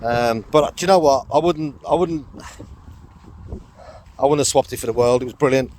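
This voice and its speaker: A middle-aged man with a croaky throat, chatting casually on a walk with his dog in a rambling vlog. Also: mid-range voice, normal speed, a little mellow, slight background noise. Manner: rambling, chatty, lots of fillers and laughs. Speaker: vlogger.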